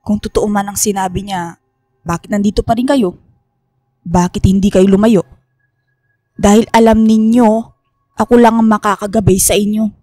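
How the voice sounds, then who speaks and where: An actress as a stern, cryptic Filipino woman professor in a radio horror drama. Her voice sits at a middle pitch, measured and grave, guarding secrets. The sound is close and dry. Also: fairly even intonation, dark tone, dry recording, close to an everyday voice.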